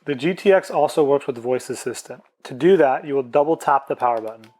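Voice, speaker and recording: A man's voice: mid-range voice, a little fast, a little mellow. A man presenting an instructional product tutorial, explaining plainly step by step.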